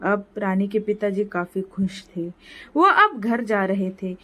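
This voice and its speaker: A woman's voice: medium pitch, animated, slow pace, a bit bright. A woman narrating a scripted moral story as a storyteller.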